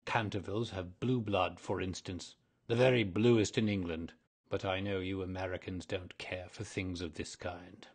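A man's voice: low voice, fairly even intonation. A man playing a weary, lonely old ghost. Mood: meek. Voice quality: husky, slow and dreamy. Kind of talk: audiobook drama reading.

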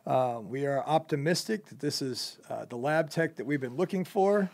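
A man's voice: on the high side, moderate pace, vocal fry, a little mellow. A young man who owns a company, casually interviewing a job applicant in a loose, rambling way with lots of fillers.

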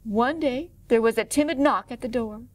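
A middle-aged woman storyteller reading a picture book aloud to children in an expressive way. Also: fairly high pitch, normal speed, no echo. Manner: expressive, clearly paced for children. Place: indoor room, standing before a painted wall.

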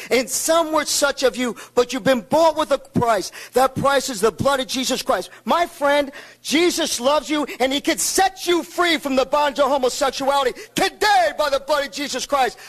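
A middle-aged man giving a fiery, preacher-style public comment at a school board meeting, often shouting, speaking into a podium microphone. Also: very high voice.

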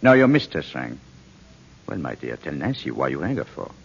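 An actor playing a cold, condescending, manipulative husband in an old radio drama, needling and then sharply commanding. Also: male voice, extremely low pitch, big pitch swings, normal speed, full character voice. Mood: mocking, suave. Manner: smooth-worded needling, switching to sharp command. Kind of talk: 1940s radio drama acting. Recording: muffled old radio broadcast with long reverb.

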